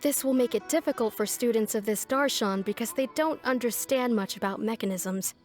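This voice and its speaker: A woman's voice: fairly high pitch, brisk, lightly acted. A woman voicing a polite but firm academic administrator in a video game, with a formal, diplomatic manner. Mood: polite, explanatory. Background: music.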